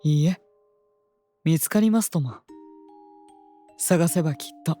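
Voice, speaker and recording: A woman playing a composed, devoted royal attendant in an audio drama, speaking politely and formally with gentle admonishment. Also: deep voice, faint music behind. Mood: soothing. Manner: polite, measured, formal speech. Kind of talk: scripted audio drama acting.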